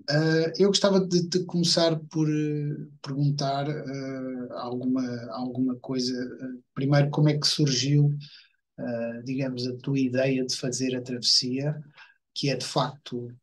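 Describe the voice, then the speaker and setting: A man hosts an interview in a slightly husky voice. He speaks haltingly and fills the gaps with frequent filler sounds as he frames his questions. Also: mid-range voice, rough recording. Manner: hesitant, many fillers. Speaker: interviewer host. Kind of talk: hosted conversation.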